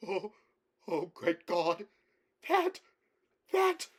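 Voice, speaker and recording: Horror audiobook villager: high, loud, frantic, stammering country fellow babbling in sheer terror. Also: male voice, very animated, drawn-out words, slightly rough, exaggerated voice. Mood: horrified wailing. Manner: shrieking, stammering, frantic rural dialect speech. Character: terrified rustic villager man, babbling in horror. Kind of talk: dramatic horror story reading.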